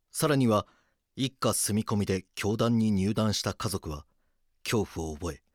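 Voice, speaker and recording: A man reading scripted documentary drama narration in a grave, measured manner. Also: fairly low pitch, dry recording, clean studio sound.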